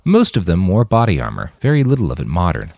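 A man's voice: low voice, wildly swooping pitch, fast, creaky, darkish tone, no echo. A man reading his action fiction aloud in an audiobook style. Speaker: author reading his own fiction on a podcast.

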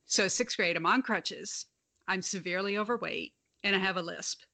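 A woman's voice: medium pitch, moderate pace, creaky, pushed and bright. A young adult woman with a slight lisp, telling stories frankly in a casual podcast chat and swearing freely. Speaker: podcast co-host.

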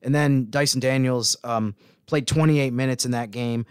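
A man giving casual sports analysis as a podcast co-host, halting a little as he thinks aloud. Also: mid-range voice, fairly even intonation, clean tone, dry recording, clean studio sound.

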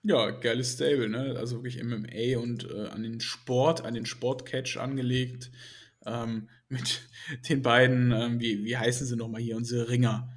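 A man's voice: mid-range voice, flat delivery, normal speed. A man co-hosting a casual podcast, talking with enthusiasm and joking along. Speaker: podcast co-host. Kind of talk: casual wrestling chat.

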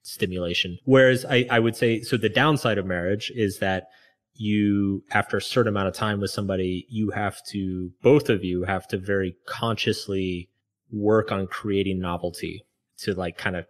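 Low-voiced man musing candidly. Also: steady tone, moderate pace. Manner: reflective, loose, many "like" fillers. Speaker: guest author. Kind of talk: candid conversational interview.

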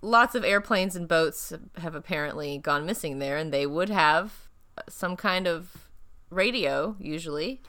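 A woman's voice: on the low side, measured pace, sharp tone. A woman co-hosting a podcast in casual chat, playful and joking, laughing often, sounding a little tired. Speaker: podcast co-host.

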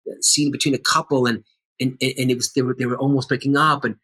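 A man's voice: mid-range voice, flat delivery, fast, sharp tone, husky. A middle-aged man, an actor and teacher, talking earnestly and with animation in a conversational interview.

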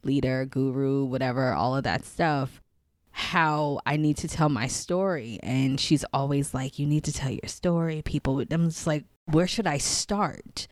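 A woman talks in a low, dry-sounding voice. She rambles and laughs easily, full of asides and "like"s, as a guest on an interview podcast. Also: measured pace, no echo. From Queens, New York City. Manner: animated, rambling, laughs often, many "like"s. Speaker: guest, psychic channel. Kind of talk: casual interview chat.

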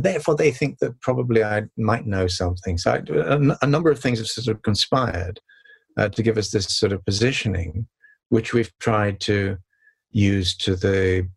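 An older man with a calm voice, answering interview questions in a measured, reflective way with many pauses and restarts. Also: fairly low pitch, creaky, breathy edge. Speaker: sustainability consultant and author, guest.

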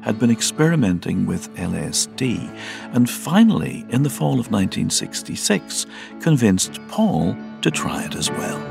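A man's voice: on the low side, big pitch swings, normal speed, gravelly. A man reading scripted documentary narration as the narrator of a music programme. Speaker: podcast narrator.

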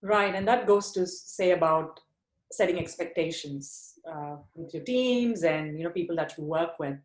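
A woman from India with an Indian accent, hosting a podcast interview and leading rapid questions with thanks. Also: fairly low pitch, reverberant, rough recording. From Chennai, India. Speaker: podcast host.

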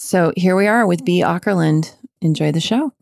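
Woman podcast host, low fry-tinged voice, dry close sound, encouraging interviewer. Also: moderate pace, a little mellow, no room sound.

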